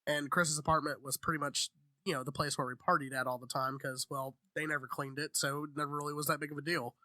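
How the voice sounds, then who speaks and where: High-pitched, bright-voiced man, brash and joking. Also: little pitch movement, fast talker, no room sound. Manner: brash, profane, mock-indignant banter. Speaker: podcast co-host. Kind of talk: casual joking group chat.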